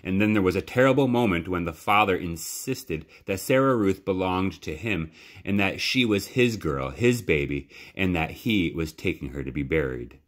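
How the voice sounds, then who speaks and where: A man reading a children's novel aloud as a library storyteller, with measured, dramatic pauses. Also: sits low, lively intonation. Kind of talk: expressive children's audiobook-style narration.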